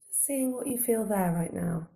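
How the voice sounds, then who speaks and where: A woman guiding a body scan meditation in a calm, gentle, unhurried manner, giving slow soothing instructions with long pauses. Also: mid-range voice, gravelly. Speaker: meditation guide.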